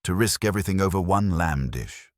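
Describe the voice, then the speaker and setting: A haughty male wine snob, as a man reads him in an audiobook. The voice is very deep, with lively pitch movement and a dry sound. He speaks with pompous, affected precision. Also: a little fast, dry recording. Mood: cool. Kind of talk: audiobook character dialogue.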